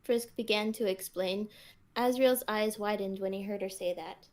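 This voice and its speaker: A woman's voice: mid-range voice, moderate pace. A woman on a podcast, reading scripted story narration and chatting casually with the group.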